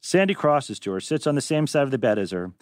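Male script narrator, lively swooping delivery, mid-pitched, reading stage directions. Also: fast talker, no echo, clean studio sound.

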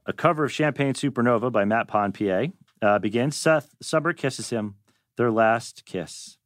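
A man narrating stage directions from a script in an animated way. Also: medium pitch, normal speed, no room sound, clean studio sound.

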